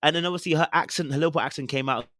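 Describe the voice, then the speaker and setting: A young man with a London accent chatting casually as a podcast host, giving opinionated, slangy reality TV commentary with animated, theatrical retellings. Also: on the high side, rapid-fire.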